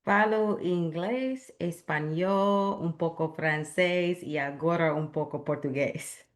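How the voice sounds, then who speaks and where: Lady learner answering real slow and careful, lowish voice. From United States. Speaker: language learner being interviewed. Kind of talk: casual interview answers.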